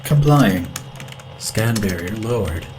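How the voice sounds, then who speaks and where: A man's voice: fairly low pitch, lively intonation, noisy background. Man acting a polite, emotionless spaceship computer. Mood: flat, compliant. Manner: formal, measured, emotionless delivery. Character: calm male ship computer AI, polite and unfeeling. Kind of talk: science fiction audio drama acting.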